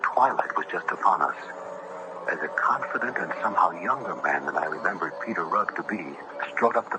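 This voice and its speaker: An actor playing an earnest young judge who narrates in a literary, measured, suspenseful way for a gothic radio drama. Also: male voice, deep voice, heavy background noise. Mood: watchful, grave. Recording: vintage radio recording with music behind. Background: music.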